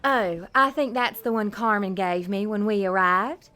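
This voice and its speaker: A woman's voice: medium pitch, measured pace, bright, forceful tone. A woman playing an innocent, friendly young pageant contestant in an audio drama, guileless and sweet. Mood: sweet, trusting.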